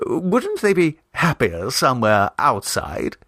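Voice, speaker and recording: A man's voice: mid-range voice, huge pitch swings, slow, a bit bright, no echo, clean studio sound, heavily acted. A man voicing a polite, cheerless, earnest Edwardian politician, young but oldish in manner, for a short story reading. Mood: tactful doubt. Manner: tactful, earnest.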